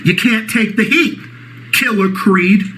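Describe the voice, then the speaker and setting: A man with a Boston accent delivering a profane, mocking reaction rant, often shouting. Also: sits high, slow pace, slight background noise. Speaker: YouTube ranter.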